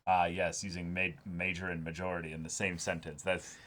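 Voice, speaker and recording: A man with a fairly low voice co-hosts a comic read-along. He reads lines aloud with mock drama and drops casual, profane, joking commentary between them. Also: level tone, brisk, fairly bright tone. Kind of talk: reading comic dialogue aloud with casual reactions.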